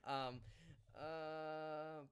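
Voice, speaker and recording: A man hosting a casual live podcast over an online call, talking in a hesitant, rambling way with halting delivery. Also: a little high, level tone, clean tone. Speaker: podcast host.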